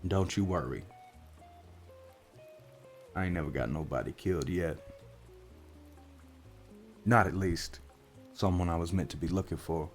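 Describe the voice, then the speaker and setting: A man voicing a gruff, streetwise orc warlock private eye with a leathery voice and an American country accent, in a folksy, reassuring roleplay. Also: sits low, unhurried, long pauses, faint music behind. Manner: folksy, matter-of-fact, reassuring. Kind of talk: soft-spoken ASMR roleplay.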